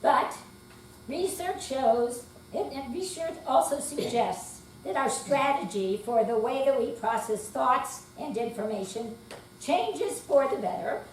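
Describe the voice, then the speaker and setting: A woman's voice: high-pitched, animated, a little slow. An older woman giving a scripted dramatic reading as a readers theater performer. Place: hall at a senior center. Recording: echoey room, distant mic, faint hum.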